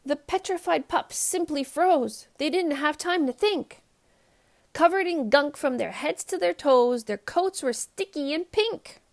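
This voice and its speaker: Animated, high-voiced woman reading a children's storybook. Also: a little slow. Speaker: host of a children's read-aloud show. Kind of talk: expressive storybook narration for young children.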